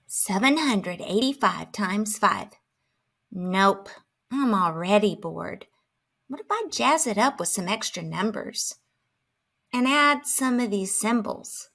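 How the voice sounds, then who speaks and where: A woman's voice: mid-range voice, animated, slow pace, vocal fry, a bit bright, exaggerated voice. A woman playing a grumpy, dramatic math skeptic who complains and exclaims in a children's storybook. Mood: grumbling.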